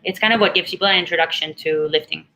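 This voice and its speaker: Bright-voiced Spanish-speaking woman guest. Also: lowish voice, quick pace, poor audio. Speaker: guest athlete. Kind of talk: casual interview chat.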